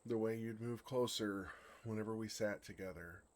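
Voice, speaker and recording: A man playing a bashful, lovestruck male dragon in human form for an ASMR fantasy roleplay, speaking in a halting, earnest way. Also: fairly low pitch, lightly acted. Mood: tender, wistful. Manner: flustered, halting, earnest delivery.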